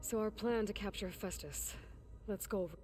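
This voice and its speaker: A woman's voice: mid-range voice, fairly quick, a little mellow, close to an everyday voice. A woman playing a determined young explorer in a video game, plain-spoken and earnest. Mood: focused. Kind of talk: naturalistic video game voice acting. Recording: game audio with music behind. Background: game music.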